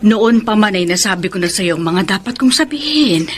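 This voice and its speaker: An actress playing a grave, counselling middle-aged Filipino mother, measured and admonishing, in a radio drama. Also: mid-range voice, expressive, slight background noise, natural voice. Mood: stern concern.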